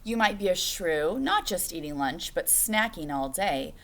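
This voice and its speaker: Lively lower-voiced woman reading to children. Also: a little slow, slight background noise. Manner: instructive, addressing children with questions and expressive phrasing. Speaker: teacher reading a picture book aloud to children. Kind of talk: children's read-aloud with questions to young listeners.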